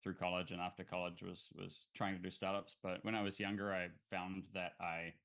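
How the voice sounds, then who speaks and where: Low gravelly nz guy chatting about his startup, laid back, lots of ums. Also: brisk. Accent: New Zealand. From New Zealand. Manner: relaxed, thoughtful, many fillers and restarts. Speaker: guest, startup founder. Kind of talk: casual interview.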